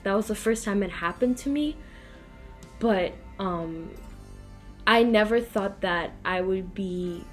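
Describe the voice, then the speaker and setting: Guest, young woman from the Philippines, English-speaking accent in French, halting testimony, mid pitch. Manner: hesitant, halting, full of fillers and restarts. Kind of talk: personal testimony in an interview.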